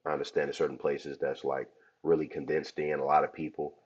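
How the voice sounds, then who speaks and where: A man with a very deep, husky voice, hoarse from a cold, talks through his podcast in a loose, chatty way. He calls his listeners y'all. The audio sounds dull and lo-fi. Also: flat delivery, brisk. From Cleveland, Ohio. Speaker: laundromat podcast host. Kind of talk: casual podcast talk.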